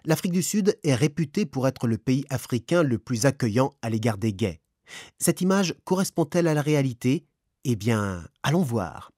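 A man's voice: medium pitch, big pitch swings, normal speed, a little mellow, no room sound, studio quality. A man presenting a documentary with scripted narration.

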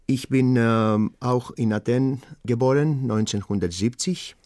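A middle-aged man from Greece, a German teacher, speaking German with a Greek accent and pausing often with fillers as he talks about himself. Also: medium pitch, a little mellow, dry recording, clean studio sound. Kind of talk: personal self-portrait talk.

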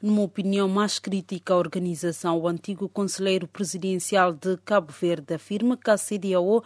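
A woman's voice: medium pitch, steady tone, brisk, dry recording, clean studio sound. A woman narrating a scripted radio news report as a reporter.

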